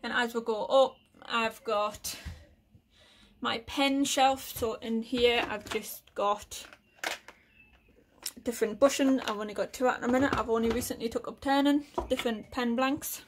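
A woman's voice: fairly high pitch, a little slow, a little hiss or hum. A woman from the UK giving a casual tour of her small shed workshop, listing tools with lots of fillers while filming on a handheld phone. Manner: relaxed, listing items with frequent fillers. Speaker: woodworking vlogger.

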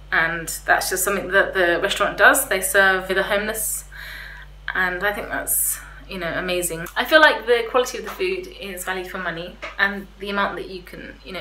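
A woman's voice: fairly low pitch, bright, forceful tone. A young woman vlogger chatting casually to camera in an informal, slightly hesitant way, giving a review. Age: young adult. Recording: roomy echo, faint background hiss.

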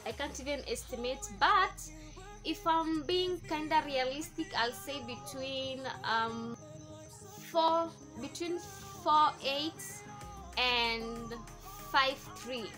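Kenyan girl in her twenties vlogging, high voice, music playing behind. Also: slow. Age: 20s. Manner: chatty, repeats herself, answers questions one by one. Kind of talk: casual chat to camera. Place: indoors in front of a patterned backdrop. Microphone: camera facing her at close range.

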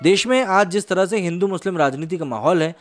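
A man's voice: sits high, fairly quick. A man in his thirties from India presenting explanatory news commentary as a host, speaking into a close studio microphone. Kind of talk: news explainer commentary, part conversational, part scripted narration. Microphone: close desk microphone on a boom arm.

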